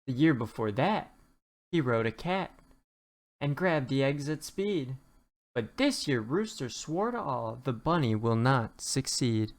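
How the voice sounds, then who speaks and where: A narrator reading a rhyming children's storybook aloud in an expressive storytelling way. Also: medium pitch, measured pace. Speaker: children's book reader.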